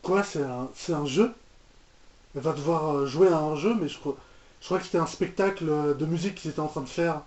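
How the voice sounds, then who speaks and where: A man's voice: a little high, fairly quick, heavy background noise. A man giving casual, off-the-cuff reaction commentary, hesitant, repeating words and trailing off. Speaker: reaction video host. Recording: echoey room, muffled lo-fi microphone.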